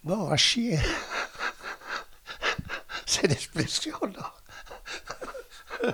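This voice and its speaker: A man answering street interview questions in halting bits, laughing between his words. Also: high-pitched, huge pitch swings, sharp tone, slightly rough.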